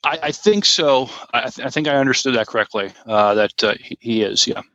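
Bright-voiced male co-host, hesitant casual chat, slightly lo-fi sound. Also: mid-range voice, no echo. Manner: halting, hesitant delivery. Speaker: podcast co-host.

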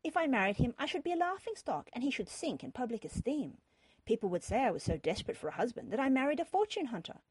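A woman's voice: fairly high pitch, very animated, fast, a little mellow, slightly rough, no room sound, voice pushed up, rough recording. A woman voicing a wily young heiress in a historical romance reading, dainty, haughty and affectedly sorrowful. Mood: prim.

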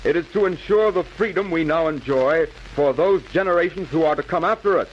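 A man's voice: fairly high pitch, brisk, natural voice. A man playing a solemn patriotic orator declaiming a wartime speech in 1940s radio style. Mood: solemn, fervent. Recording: dull, noisy old 1940s radio recording.

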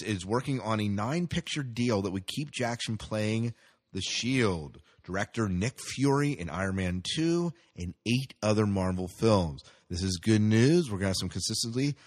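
Male podcast host, American, low husky voice, casual and unpolished, self-correcting delivery. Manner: informal, hesitant, self-correcting. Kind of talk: casual sci-fi news and movie segment talk.

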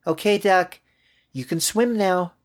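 A man's voice: sits high, takes it slowly, pitched above the performer's own voice. A man voicing a small, earnest little girl in a storybook, innocent and worried, with a childlike, pleading delivery. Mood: bossy, playful.

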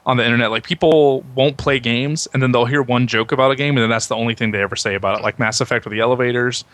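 A man with an average-pitched voice chats casually as a podcast host about his hobby. The recording is dry and close, with very little room sound. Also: steady tone, racing, fairly bright tone, dry recording. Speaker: video game podcast host. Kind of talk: casual chat.

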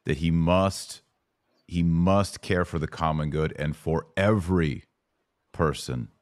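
A man's voice: sits low, slow, no room sound, studio quality. A man hosting a podcast in a conversational interview style. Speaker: podcast host.

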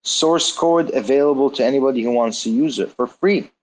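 A man hosting a live online audio chat, introducing speakers and giving quick, encouraging replies. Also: medium pitch, rough recording. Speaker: host.

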